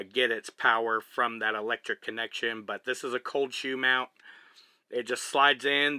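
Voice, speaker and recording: A man's voice: medium pitch, no room sound. A man in his thirties with a Texan accent, casually walking through his camera setup step by step inside a truck cab. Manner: relaxed, chatty, explains step by step while handling gear. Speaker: vlogger. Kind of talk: casual gear demonstration. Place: small living cabin of a truck, indoors.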